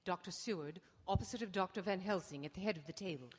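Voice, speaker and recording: An earnest young wife recording minutes in a radio play. Her voice is husky and a little low, her delivery clipped and orderly, in a reverberant hall. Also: fast talker, darkish tone. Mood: businesslike. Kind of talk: live radio drama acting. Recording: live stage broadcast in reverberant hall.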